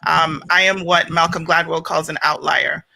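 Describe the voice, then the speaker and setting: A middle-aged woman from Jamaica, speaking as a panelist on a video call with quick, animated storytelling. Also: low voice, creaky, low-quality recording.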